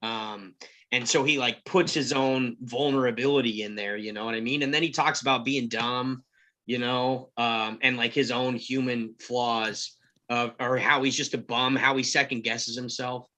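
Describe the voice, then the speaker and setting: Bright-voiced man rambling on a call. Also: mid-range voice, fairly even intonation, normal speed. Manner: rambling, thinks aloud with many fillers. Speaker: table read cast member. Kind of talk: casual group discussion.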